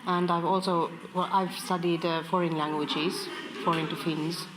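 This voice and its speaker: A woman talks informally as a language teacher. Her voice is fairly low, with clear noise behind it. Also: little pitch movement, moderate pace. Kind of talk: conversational interview answers.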